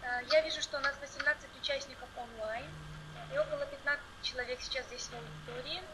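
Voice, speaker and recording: A woman's voice: sits high, fairly even intonation, fast. A woman moderating a seminar in a formal hosting style. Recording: noisy seminar room audio.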